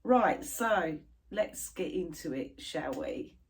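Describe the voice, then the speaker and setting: A middle-aged British woman chatting casually to camera about her crafts, sounding stuffy and a little croaky from a chest infection. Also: deep voice, expressive, takes it slowly. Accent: British English. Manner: chatty, rambling, laughs easily. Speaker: cross stitch vlogger.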